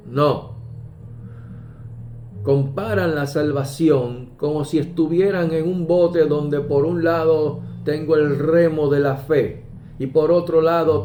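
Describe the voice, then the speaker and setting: Slow, mellow-voiced preacher. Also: male voice, on the high side. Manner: measured, emphatic pauses, quoting scripture. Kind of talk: slow deliberate sermon. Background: soft guitar music.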